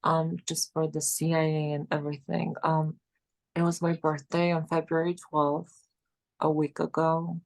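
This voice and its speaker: A woman's voice: deep voice, flat delivery, measured pace, creaky, a little mellow. A Georgian woman in her late twenties chatting casually as a guest, rambling and saying "like" a lot. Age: 20s. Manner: rambling, filler-heavy, keeps saying "like". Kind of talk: casual chat.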